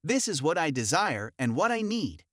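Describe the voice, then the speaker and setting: A man tells an online forum story in the first person, his voice pitched a little high and moving up and down a lot. The sound is dry and close, with no room around it. He keeps an even storytelling manner. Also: moderate pace, no echo. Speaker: narrator.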